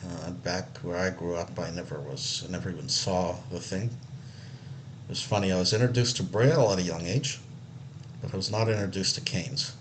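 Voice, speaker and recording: Man from Israel, very low voice, loose meandering storyteller, faint room ambience behind him. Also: moderate pace, a little hiss or hum, rough recording. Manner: relaxed and meandering, many fillers and restarts. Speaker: accessibility analyst. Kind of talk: casual personal story.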